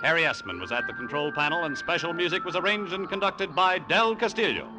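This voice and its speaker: A man with an American accent announcing formally on old-time radio, reading scripted credits and promos with clear enunciation. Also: a little high, animated, brisk. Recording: old radio broadcast with music.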